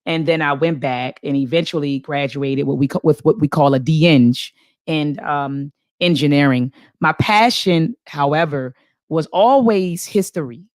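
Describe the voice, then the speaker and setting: A woman in her forties from Baltimore, a professor, telling her story as an interview guest in earnest, lively speech with many fillers. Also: sits low, a little slow, dry recording, studio quality. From Baltimore, USA. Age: 40s.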